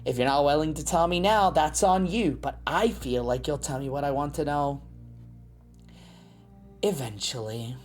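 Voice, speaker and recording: A woman voicing a cocky, gruff but motherly lycan mercenary in an audio drama, with a teasing, sardonic drawl. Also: low-pitched, normal speed, a little mellow, faint music behind, close to an everyday voice. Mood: bossy, teasing. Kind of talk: scripted audio roleplay drama.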